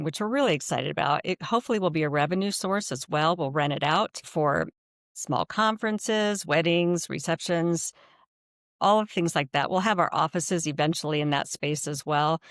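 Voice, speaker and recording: Middle-aged American woman, nonprofit director as podcast guest, earnest and explanatory, gravelly fry, dry close sound. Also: sits low, no echo. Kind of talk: conversational interview answers.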